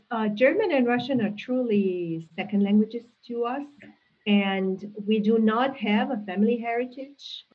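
A woman, a guest answering interview questions in a thoughtful, measured way with frequent restarts, heard over a video call. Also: a little high. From Hungary. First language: Hungarian. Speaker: guest, linguistics professor. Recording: tinny phone-like call audio.

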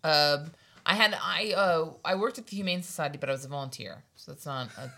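A woman's voice: low-pitched, a little fast, bright, forceful tone. A woman hosting a podcast, telling her first-job story in a teasing, easy manner. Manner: teasing, laughs easily. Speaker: podcast host. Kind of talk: casual podcast chat.

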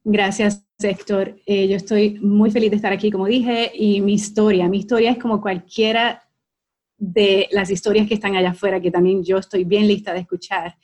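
A Puerto Rican woman in her forties talks as an interview guest. She tells her story in a measured, easy way and draws out the words she wants to stress. Her voice sits at an ordinary pitch for a woman. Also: little pitch movement, darkish tone. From Puerto Rico. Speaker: guest, life coach and clinical hypnotist.

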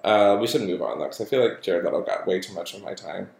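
A man co-hosting a casual podcast about movie awards, talking in an opinionated, animated way with frequent fillers. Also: low voice, fast, creaky, roomy sound. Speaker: podcast co-host. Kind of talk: casual film chat.

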